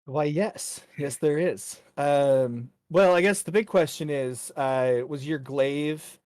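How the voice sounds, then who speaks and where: A man narrating a tabletop game as game master in a casual, measured, hesitant way. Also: a little high, darkish tone, dry recording, clean studio sound.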